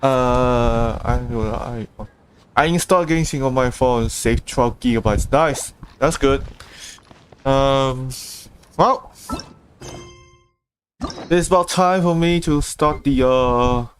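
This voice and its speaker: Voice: mid-range voice, takes it slowly, slight background noise. A video game streamer giving casual, rambling live commentary and reacting aloud to the game.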